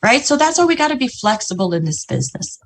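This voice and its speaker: A woman's voice: a little high, very animated, quick pace, breathy edge. A woman giving a chatty motivational business talk on a video call, often asking "right?" and "you know". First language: English. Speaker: business presenter.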